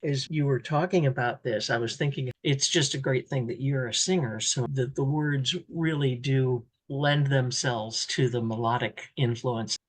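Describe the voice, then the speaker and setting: A woman radio host with a low, noticeably husky voice. She asks questions in a conversational classical-station interview style. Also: moderate pace, hardly any pauses.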